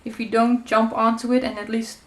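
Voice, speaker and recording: A woman's voice: a little high, level tone, brisk. A woman in her thirties with a Dutch accent, whose first language is Dutch, chatting casually while she works through a paper craft tutorial. She talks in a loose, friendly way, with many fillers, and now and then she stops to search for a word. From Netherlands. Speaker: crafting channel host. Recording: echoey room with faint noise.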